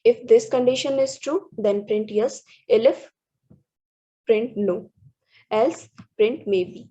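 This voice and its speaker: A woman reads through programming slides, stopping often and restarting words. Her voice sits fairly high and sounds husky and mellow. The recording is dull and lo-fi. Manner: halting, restarts words, many fillers. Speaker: student presenter.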